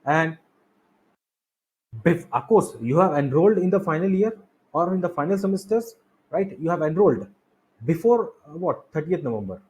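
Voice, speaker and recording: A man's voice: on the high side, expressive, normal speed, pauses often, a little mellow, husky, rough recording. A man with an Indian accent explaining slides as a coaching instructor, repeating key points and asking rhetorical questions. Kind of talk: explanatory lecture over slides.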